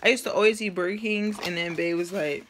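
A woman's voice: on the low side, quick pace, background noise. A young woman in her twenties chatting casually to her phone camera on a night out, sometimes in a sing-song way. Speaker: vlogger. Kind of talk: casual vlog chat. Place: movie theater, pool hall, car, home. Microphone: phone held at arm's length.

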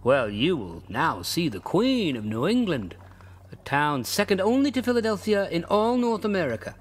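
A man's voice: on the high side, very animated, moderate pace, slightly rough, voice pushed up. A man voicing a proud, bewildered old-fashioned carriage driver who talks in formal, boastful speech and in a raised voice.

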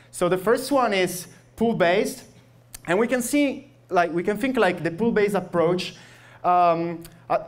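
A young man with an Italian accent, speaking English as a second language, gives a meetup tech talk into a handheld microphone, halting with many fillers and restarts. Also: sits high. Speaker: software engineer giving a meetup talk. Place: meetup room with projector, audience.

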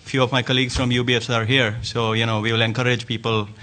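A man's voice: mid-range voice, little pitch movement, fast, sharp tone. A man introducing himself at a conference panel in a friendly, jokey manner. He sits at a panel table in a hotel conference room. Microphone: table microphone.

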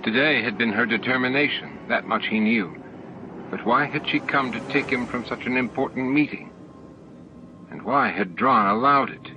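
A man reading a novel aloud as an expressive audiobook narrator. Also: mid-range voice. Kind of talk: expressive scripted book narration. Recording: dull lo-fi audiobook tape recording. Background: music and sound effects.

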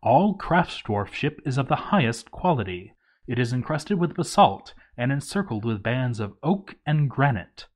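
A man's voice: mid-range voice, sing-song, normal speed. A man reading a written game playthrough story aloud as a dramatic scripted narration.

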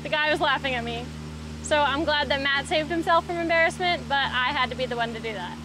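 Upbeat playful lady doing a chatty vlog, pretty high voice, bit of outdoor noise. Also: fast. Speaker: vlog host. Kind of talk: casual, chatty travel vlogging. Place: outdoors, mostly on a boat on a lake.

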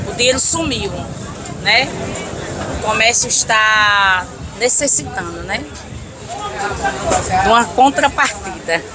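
A middle-aged woman with a Northeastern Brazilian accent speaks slowly in a fairly high voice. She chats as a market vendor, ending phrases with little tags. A busy crowd is heard around her stall. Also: music in the background. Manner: devout, ends phrases with tags. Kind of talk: casual interview chat. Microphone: reporter's handheld mic.

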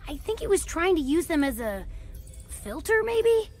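A woman's voice: very high-pitched, very animated, normal speed. A woman voicing an earnest, clever young boy fox inventor in a video game, explaining things. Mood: flustered. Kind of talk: animated video game cutscene acting. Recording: music under the voice.

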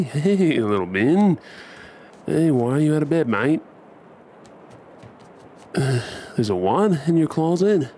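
A man's voice: medium pitch, a little mellow, a little hiss or hum, full character voice. A man with an Australian accent playing a tender, playful husband and dad in an intimate ASMR role play, affectionate and coaxing.

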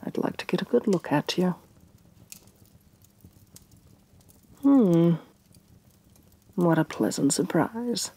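Woman doing an evil queen for asmr roleplay, lowish breathy soft voice, super condescending and bossy. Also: expressive, measured pace, full character voice. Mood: smug, pleased. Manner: imperious, condescending, theatrically disdainful. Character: haughty, domineering evil sorceress queen.

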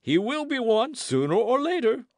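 A man voicing a boastful, loud musketeer in an audiobook, theatrical and full of exclamations. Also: on the high side, huge pitch swings, measured pace, dry recording, pitched above the performer's own voice. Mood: gleeful, mocking. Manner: theatrical, exclamatory, swooping emphasis. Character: boisterous, boastful swaggering musketeer, loud and self-satisfied.

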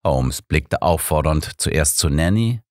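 A man reads a story as an audiobook narrator in an expressive, measured way. His voice is low with animated intonation, captured in a very dry recording. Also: no echo. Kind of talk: scripted first-person story narration.

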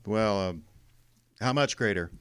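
An actor playing a tough, cynical private detective in a radio drama, with a terse, slangy, wry way of talking. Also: male voice, lowish voice, a little slow, clear, resonant tone, close to an everyday voice. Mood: hesitant, calculating.

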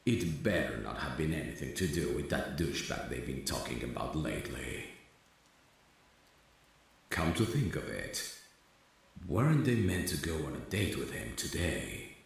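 A man's voice: rumbling low, very animated, moderate pace, gravelly, slightly rough. A man acting a romantic ASMR roleplay as a caring, protective friend, speaking tenderly and intimately. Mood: brooding, protective. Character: caring, protective male friend secretly in love. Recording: rain ambience behind the voice. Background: rain.

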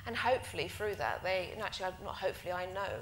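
A woman's voice: mid-range voice, fast talker. Earnest woman teacher in an echoey hall. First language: Greek. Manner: earnest, animated, many fillers and restarts. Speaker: art teacher on a panel. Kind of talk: panel discussion answer. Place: conference hall. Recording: echoey hall with faint noise.

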